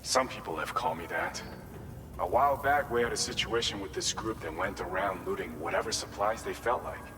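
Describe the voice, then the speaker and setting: Actor as low-voiced earnest man in echoing hall. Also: a little fast, music in the background, lightly acted. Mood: humble, reflective. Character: earnest, humble male former boxing champ at a facility. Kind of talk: video game cutscene dialogue and briefing. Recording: reverberant hall, background vehicle noise.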